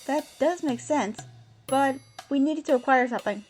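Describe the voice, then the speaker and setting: A woman's voice: high voice, moderate pace, a little mellow, faint music behind, natural voice. A woman playing a practical, agreeable adventuring companion in an audio drama. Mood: curious, agreeable.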